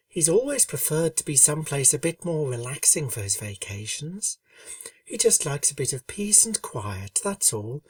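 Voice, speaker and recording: A woman voicing a shy, gentle young female pegasus pony who speaks softly and soothingly. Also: a bass voice, dry recording, recorded from a distance. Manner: soothing, soft, quiet.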